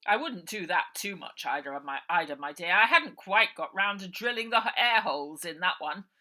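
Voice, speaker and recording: A woman's voice: on the low side, lively intonation, normal speed, sharp tone. A woman voicing a cold, commanding, contemptuous villainous uncle in a children's story, mock-polite and sneering. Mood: taunting, menacing.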